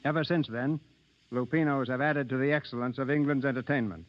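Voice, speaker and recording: A man hosting a radio theatre, reading scripted 1930s narration in a formal, measured announcing manner. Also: medium pitch, no room sound. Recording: muffled 1930s radio broadcast.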